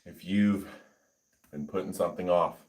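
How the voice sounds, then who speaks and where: A man livestreaming his lifting session, chatting casually and haltingly with viewers and reading their comments aloud. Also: deep voice, steady tone, a little mellow. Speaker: fitness livestreamer. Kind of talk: casual livestream chat while working out.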